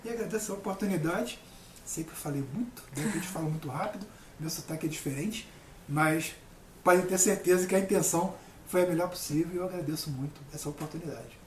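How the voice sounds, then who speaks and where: A man's voice: a little high, fast. A man, middle-aged, giving an informal talk as a lecturer, earnest and emphatic, repeating phrases for stress. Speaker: university lecturer and guest speaker. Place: indoor room with people present. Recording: echoey room, distant mic, faint noise.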